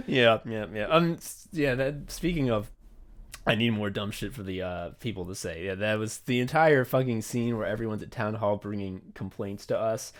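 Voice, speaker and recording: A man's voice: fairly low pitch, normal speed. A man hosting a podcast in casual chat, swearing freely and joking at his own expense. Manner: profane, joking and self-deprecating. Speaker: podcast host and comedy writer.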